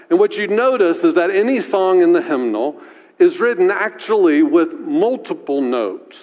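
Deep-voiced preacher guy, chatty sermon, keeps saying right?, long hall echo. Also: a little mellow. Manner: conversational, asks "right?" often. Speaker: pastor.

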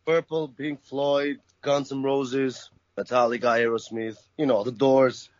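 A man who grew up in Albania talks casually as a band guest, easygoing and a bit halting. The sound is dry and slightly muffled. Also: medium pitch, flat delivery, a little mellow, dry recording. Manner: easygoing, chatty, hesitant restarts. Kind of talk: casual interview chat.

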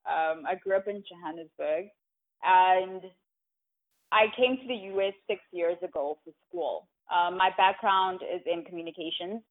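A woman from Africa, speaking as an interview guest in a thoughtful, casual way, often pausing on "um" and checking in with "right?". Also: fairly low pitch. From South Africa. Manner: thoughtful, many "um"s and "right?" tags. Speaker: guest, tech community co-founder. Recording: tinny phone-like line.

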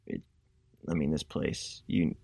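A young man, a student making a class podcast, telling a travel story in a casual, self-described monotone voice. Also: slow, no room sound. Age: young adult.

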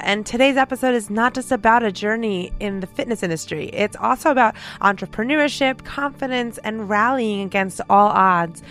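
A woman's voice: medium pitch, fairly bright tone. Upbeat New woman co-host. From New York. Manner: upbeat, reads guest introductions. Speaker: podcast co-host. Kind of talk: podcast intro and chat.

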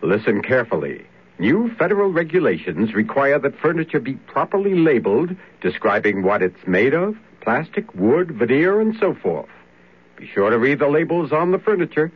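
An actor playing a gallant, self-assured consumer adviser, instructing in a declamatory way in a 1970s radio advert. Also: male voice, mid-range voice, big pitch swings, normal speed, husky, narrow, tinny audio. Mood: helpful, self-assured. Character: helpful, officious consumer-bureau man, gallant and self-assured.

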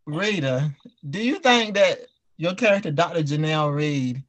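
A man's voice: a little high, animated, normal speed, fairly bright tone. A man hosting a casual group interview, speaking in an informal, chatty way. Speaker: host and audio drama writer.

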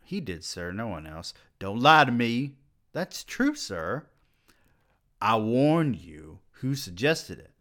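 A man's voice: mid-range voice, sing-song, slow, fairly bright tone. A man doing a stammering, deferential young student. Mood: pleading. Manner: stammering, pleading.

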